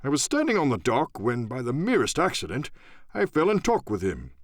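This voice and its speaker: A man voicing a pompous, excitable, boastful English country squire in an audiobook, effusive and full of exclamations. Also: medium pitch, brisk, no room sound, studio quality.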